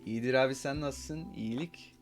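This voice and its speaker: Brief: young male streamer, easygoing and chatty, mid-pitched, quiet music underneath. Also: brisk. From United States. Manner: laid-back, chatty, laughs often, switches into Turkish. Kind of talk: casual chat with chat readers.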